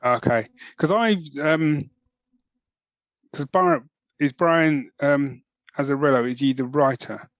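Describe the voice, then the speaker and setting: Higher-pitched man, casual podcast host. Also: takes it slowly, pauses often, no echo. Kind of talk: casual podcast chat and ranting.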